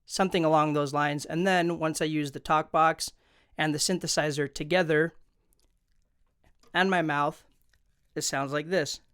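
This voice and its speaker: A man's voice: fairly high pitch, steady tone, unhurried, pauses often, a bit bright, clear, resonant tone, no echo. A man hosting an instructional video, explaining things in an informal, conversational way into a close studio microphone. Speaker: tutorial host. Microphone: close studio condenser microphone.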